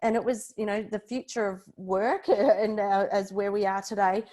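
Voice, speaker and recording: Australian female, 40s, conversational podcast guest, chatty and informal, mid-range pitch. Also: fairly even intonation, normal speed, a little mellow. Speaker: podcast guest, personal branding expert. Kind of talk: conversational interview, recounting own career.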